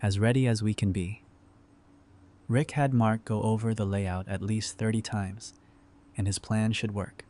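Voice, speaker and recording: A man's voice: lowish voice, fairly even intonation, unhurried, a little mellow, close to an everyday voice. A man playing an easygoing, pragmatic apocalypse survivor in a fan audio drama, speaking in a casual, wry way. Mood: serious.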